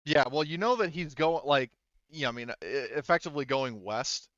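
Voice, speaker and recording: Halting, bright-voiced man running a tabletop game. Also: mid-range voice, no room sound, highs cut off. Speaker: game master.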